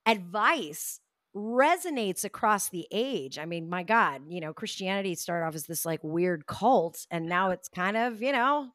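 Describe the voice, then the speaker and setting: Expressive middle-aged woman, dry close sound. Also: medium pitch, a little slow, no room sound. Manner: expressive storyteller, animated asides. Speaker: guest, communication coach. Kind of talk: casual interview chat.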